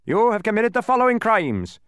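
A tiny, fierce, officious policeman from a storybook, performed by a man. His voice is raised high and forceful, swinging around as he blusters and accuses. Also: huge pitch swings, brisk, smooth, dry recording, studio quality, full character voice. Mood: stern, officious.

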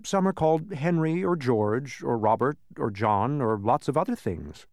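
A man's voice: mid-range voice, expressive, normal speed, a little mellow, no echo, clean studio sound, voice pushed up, close to an everyday voice. A man voicing a polite, puzzled young boy in a storybook, hesitant and questioning.

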